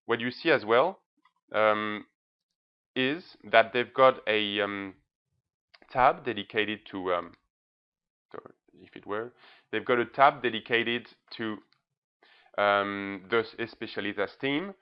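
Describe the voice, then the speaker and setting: A man's voice: on the low side, takes it slowly, long pauses, clean tone. A man giving an informal, emphatic presentation as a blogger, often checking in with short tag words. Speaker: presenter, blogger reviewing banks' social media. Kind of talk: informal screencast-style presentation. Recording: slightly dull, lo-fi sound.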